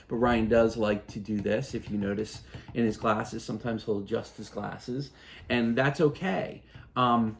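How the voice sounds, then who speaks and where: A man in his fifties, a father explaining things in a calm, casual chat at home, pausing and restarting as he thinks. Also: medium pitch. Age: 50s. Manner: thoughtful, halting, many restarts and fillers. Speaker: parent.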